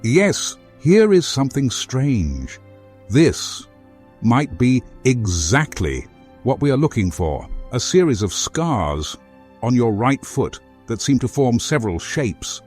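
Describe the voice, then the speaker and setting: A man playing a courteous, earnest former foe in a fantasy game, speaking formally and deliberately as he offers help. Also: medium pitch, big pitch swings, darkish tone, breathy edge, soft background music, heavily acted. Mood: intrigued, helpful. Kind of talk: video game character dialogue.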